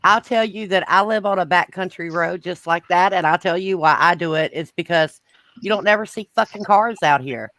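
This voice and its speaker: A woman's voice: fairly low pitch, moderate pace, fairly bright tone, dry recording. A woman from Georgia with a Southern accent, talking in a plainspoken country way and swearing at times. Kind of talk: casual chat.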